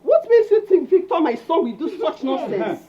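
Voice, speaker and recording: A woman plays a middle-aged mother with a very high, animated, shrill voice who keeps repeating her pleas. Noise is clearly audible behind her, and the sound is dull. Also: moderate pace. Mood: indignant. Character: indignant mother defending her son. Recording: muffled audio with clear background noise.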